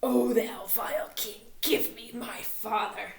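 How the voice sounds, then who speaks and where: A woman's voice: a little high, slow, creaky, reverberant, a little hiss or hum. A woman voicing a furious young nobleman who declaims his defiance in a hot-tempered way. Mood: defiant.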